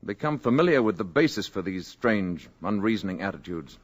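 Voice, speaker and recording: An actor playing a calm, aloof male alien visitor in a 1950s radio drama, speaking in a measured, quiet, grave way. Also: on the low side, breathy edge.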